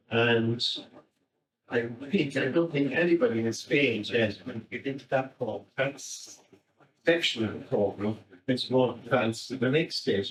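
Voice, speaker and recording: An Irish man making an informal, rambling contribution at a community talk. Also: medium pitch. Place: indoor event. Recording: echoey room, distant microphone.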